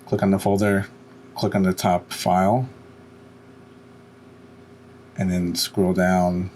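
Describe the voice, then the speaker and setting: Very deep-voiced man giving a tutorial. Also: darkish tone, a little hiss or hum. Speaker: tutorial host. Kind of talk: step-by-step software screencast narration.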